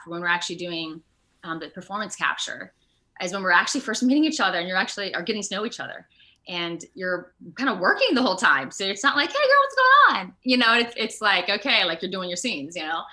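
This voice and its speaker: Bright-voiced woman chatting in an interview. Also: lowish voice. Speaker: interview guest, voice and performance-capture actor. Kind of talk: casual video interview chat. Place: remote online video call.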